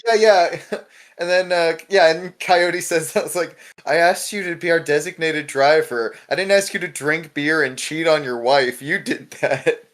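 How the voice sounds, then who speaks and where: A young man hosting a casual discussion podcast, retelling things in an animated way with frequent swearing. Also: on the high side, moderate pace. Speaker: podcast host.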